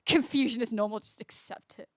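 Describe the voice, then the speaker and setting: A woman's voice: a little high, a little fast, darkish tone, no room sound, studio quality. A woman hosting a casual livestream, reading aloud in an informal, chatty way. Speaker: livestream host. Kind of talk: casual livestream read-aloud with chat replies.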